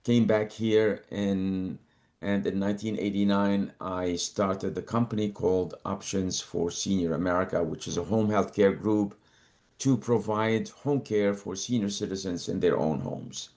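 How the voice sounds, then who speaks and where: Older male guest from Lebanon, low-pitched, measured, repeats words as he thinks. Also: steady tone, a little mellow. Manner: measured, repeats words while gathering thoughts. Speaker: guest, company co-founder. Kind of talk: interview conversation.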